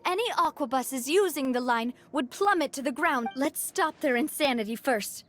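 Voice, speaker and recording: High-voiced, resolute young boss. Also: female voice, animated, moderate pace, faint music behind. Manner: earnest, commanding. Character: resolute young woman boss, caring and decisive. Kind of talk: dramatic video game voice acting.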